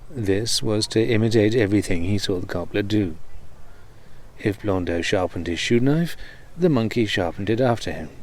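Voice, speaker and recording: A man with a fairly low voice serves as storyteller, reading an old comic tale aloud. His delivery is that of a narrated storybook. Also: expressive, moderate pace, darkish tone.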